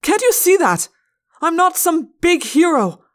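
Lady as young alien cadet guy, defensive and pleading, strained and pitched way up, audiobook. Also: big pitch swings, unhurried, exaggerated voice. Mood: anguished. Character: anguished young male alien cadet in human body. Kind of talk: audiobook character voice.

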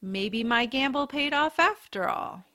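A woman's voice: sits high, lively intonation, unhurried, sharp tone, heavily acted. Earnest, solemn wolf-tribe warrior woman, acted. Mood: awed. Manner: formal, earnest. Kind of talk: audio drama acting.

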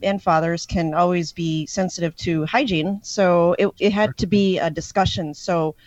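A woman's voice: fairly low pitch, fairly even intonation, moderate pace, darkish tone, no room sound. Chatty woman guest, dull dry sound. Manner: chatty, meandering. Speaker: musician guest. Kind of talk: casual storytelling.

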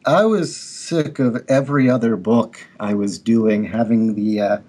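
A man, a writer and artist, speaking in a measured, critical way on a podcast panel, with fillers. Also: on the low side, darkish tone.

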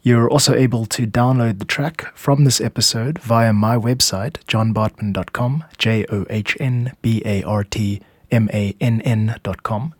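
A man's voice: fairly low pitch, steady tone, unhurried, hardly any pauses, dry recording, studio quality. A man narrating a scripted podcast as its host, explaining how music is made.

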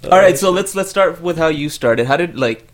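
A man's voice: fairly high pitch, animated, moderate pace, background noise. A man in his thirties hosting an interview, chatting casually and answering mostly with short, easygoing agreements. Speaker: podcast host.

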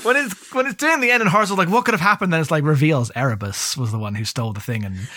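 A man co-hosting a podcast, talking through a book casually in a halting, rambling way full of asides. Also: a little high, lively intonation, bright, forceful tone, dry recording, studio quality. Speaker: podcast co-host. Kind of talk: casual book discussion with plot recap.

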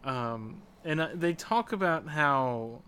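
A man's voice: on the high side, animated, slow pace, a bit bright, smooth. A man co-hosting a podcast, chatting casually about a video game. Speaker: podcast co-host.

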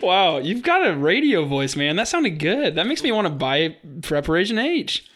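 A man's voice: high-pitched, big pitch swings, moderate pace, a crowd in the background. A man in his twenties co-hosting a casual sports podcast, laid-back and joking, with an unpolished delivery full of fillers. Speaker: podcast co-host.

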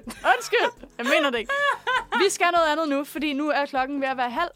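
High-voiced woman, radio co-host. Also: animated, fairly bright tone. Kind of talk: casual live radio chat. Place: radio studio.

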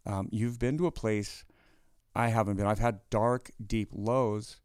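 A man's voice: lowish voice, steady tone, unhurried, clear, resonant tone, dry recording, studio quality. A middle-aged man, a pastor, hosting a casual interview in a chatty, joking way with many restarts. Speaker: pastor and host.

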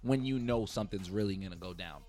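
A young podcast host from Brooklyn with a New York accent and a fairly low voice. He talks laid-back and slangy, heavy on profanity, easily getting hyped. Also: fast talker, a little mellow, soft background music. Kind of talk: casual podcast conversation.

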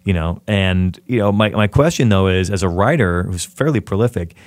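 Low-voiced relaxed male interviewer. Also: moderate pace, dry recording. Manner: relaxed, talkative, asks long questions. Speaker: interviewer, fellow musician. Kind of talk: casual interview chat.

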